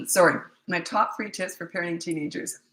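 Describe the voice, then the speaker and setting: A woman in her sixties speaks with a fairly low voice, worn thin by a cold that is making her voice give out. She answers parenting questions in a live stream, blunt and matter-of-fact, often asking rhetorical questions. The sound carries a roomy echo. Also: animated, a little slow. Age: 60s. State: has a cold, voice giving out. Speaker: parenting coach.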